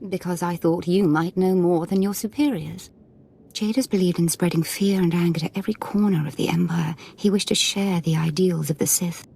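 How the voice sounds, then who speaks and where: A sadistic dark lady of a space fantasy game, with a low, husky voice. She is imperious and sweetly mocking, every line edged with menace. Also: steady tone, normal speed. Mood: imperious, menacing. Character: menacing, playfully sadistic female Sith lord. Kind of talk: scripted game dialogue.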